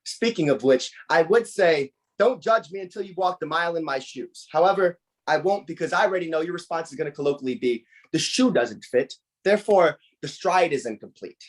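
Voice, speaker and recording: A man's voice: on the high side, lively intonation, a little fast, hardly any pauses. A man performing comic spoken-word poetry at a slam, blunt and wordy. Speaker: slam poet.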